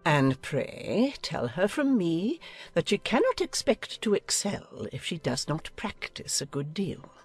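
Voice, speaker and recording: An imperious, husky older noblewoman. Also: on the low side, big pitch swings, unhurried, faint music behind, exaggerated voice. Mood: lecturing. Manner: pompous, commanding. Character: imperious, meddling older aristocratic lady. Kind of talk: dramatized audiobook acting.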